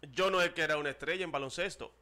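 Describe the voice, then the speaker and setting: A playful man with a bright, somewhat high voice, hosting a radio show from a studio desk mic. He spins boastful, slangy stories with a grin in his voice. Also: rapid-fire. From Dominican Republic. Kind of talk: joking studio banter. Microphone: desk microphone.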